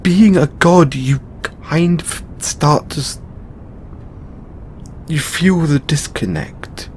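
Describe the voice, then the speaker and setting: A man playing a weary, burdened god in an intimate audio roleplay, speaking haltingly with sighs and long pauses. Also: on the high side, darkish tone, a little hiss or hum. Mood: regretful, confessing. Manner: halting, sighing, with drawn-out pauses. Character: weary, burdened male god confiding in his lover. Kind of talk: audio roleplay monologue, intimate dramatic acting.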